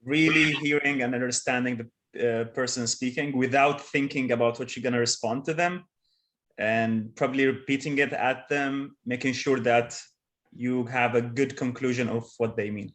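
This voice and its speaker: A man with a mid-pitched voice joins a training session over a video call. He answers concisely, as if giving a definition. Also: steady tone, moderate pace, a bit bright. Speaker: training participant.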